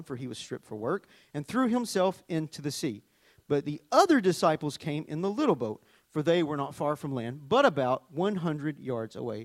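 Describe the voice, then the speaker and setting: A middle-aged man with a Southern US accent preaches in a chatty, animated way, dropping jokes between scripture readings. His voice sits a bit high for a man. The sound is dry and close. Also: dry recording. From Arkansas, US. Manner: chatty, joking asides, animated storytelling. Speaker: worship leader and preacher. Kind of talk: conversational sermon with scripture reading.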